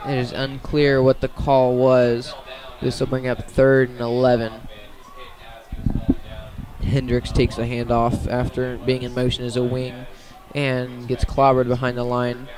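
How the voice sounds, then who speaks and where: Even-toned man calling football. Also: medium pitch, unhurried, low-quality recording. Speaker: play-by-play sports commentator. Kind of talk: live high school football radio play-by-play.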